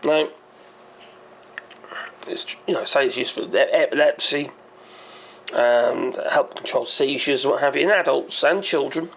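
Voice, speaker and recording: A hoarse man hosting his own channel, explaining a topic in a rambling, hesitant way and often correcting himself. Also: mid-range voice, measured pace, pauses often, slight background noise. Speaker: channel host. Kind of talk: casual explainer monologue.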